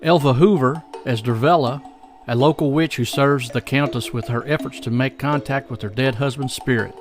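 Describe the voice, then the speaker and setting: A man's voice: medium pitch, moderate pace. A man hosting a podcast, reading scripted introductions and promotional copy. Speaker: podcast host. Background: faint music.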